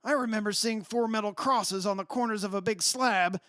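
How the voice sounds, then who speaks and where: A man's voice: sits high, moderate pace, a bit bright. A man acting the part of a brash, bossy, middle-aged father in a radio play, blunt and scoffing about superstition. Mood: brisk, bossy. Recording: echoey hall, long reverb, background hiss.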